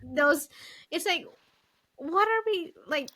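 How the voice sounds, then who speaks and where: A woman's voice: extremely high pitch, expressive, slow, bright, forceful tone. A woman co-hosting a podcast, asking questions and chatting casually with a guest. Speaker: podcast co-host. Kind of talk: casual interview chat.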